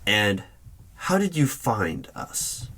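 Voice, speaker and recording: A man speaking in a formal, deliberate official tone, performing a spoken ASMR roleplay as a stern hiring manager, with keyboard typing behind him. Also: lowish voice, huge pitch swings, background noise. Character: stern male hiring manager of secret organization. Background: computer keyboard typing.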